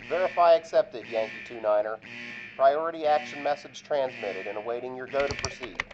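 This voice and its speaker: An actor playing a formal military command dispatcher, reading launch codes in a clipped procedural way through a radio filter in an audio drama. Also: male voice, medium pitch, a little fast, dull audio. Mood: stern, procedural. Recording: band-limited radio sound with music behind.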